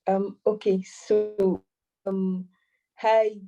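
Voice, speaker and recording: A woman's voice: medium pitch, flat delivery, drawn-out words, gravelly, warm tone, far from the mic, muffled recording. A woman in her twenties from Cameroon, an AI mentor answering interview questions hesitantly with many fillers and restarts. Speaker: AI mentor and master's student.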